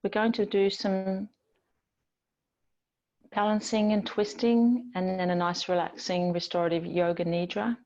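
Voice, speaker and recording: A woman yoga teacher speaks very slowly at a medium pitch, gently guiding breath and body awareness. She leaves long, soothing pauses between phrases. The recording sounds slightly dull and lo-fi. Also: flat delivery. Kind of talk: guided yoga and relaxation instruction.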